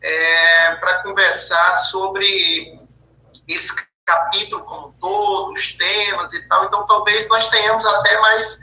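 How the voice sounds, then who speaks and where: Man moderating an online meeting, very bright tone, echoey call audio with faint noise. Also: sits high, level tone, measured pace. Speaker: study group moderator. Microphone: video call.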